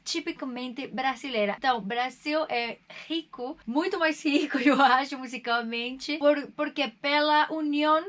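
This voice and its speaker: A woman from Mexico, a DJ and co-host, speaking in a casual animated way and laughing often, with Spanish-style phrasing. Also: high voice, unhurried, hardly any pauses. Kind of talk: casual talk-show discussion.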